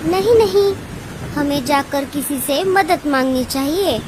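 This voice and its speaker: A very high, childlike cartoon chick, played by a woman, anxious and pleading. The words come slowly, over clear background noise. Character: anxious young chick, eldest daughter of bird family. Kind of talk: cartoon dub acting.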